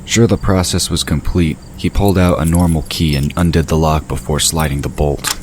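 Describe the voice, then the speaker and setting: A man narrates an audio drama in an extremely low voice. The reading is scripted storytelling, laid over clearly audible ambient and vehicle noise. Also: steady tone, normal speed. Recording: noisy background of ambience effects and vehicle sound.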